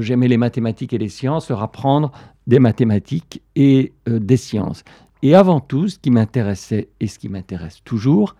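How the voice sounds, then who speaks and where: A man's voice: mid-range voice, creaky, mellow, no echo, clean studio sound. An older man from Belgium, a professor, answering interview questions thoughtfully with frequent fillers. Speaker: professor guest.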